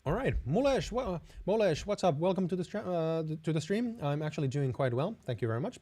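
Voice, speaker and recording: A man's voice: a little high, big pitch swings, brisk, mellow. A young Finnish man, speaking English with a Finnish accent, hosts a live stream Q&A in a friendly, hesitant way, often stopping and restarting his sentences. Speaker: host.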